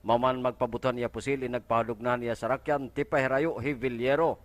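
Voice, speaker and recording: A man's voice: mid-range voice, steady tone, a little fast. A man from the reading scripted television news narration as a reporter.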